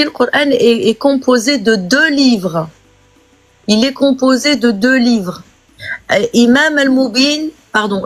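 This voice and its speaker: A woman lecturing insistently in a heated religious debate on a live stream, repeating her key points. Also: a little high. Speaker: guest speaker in a live debate.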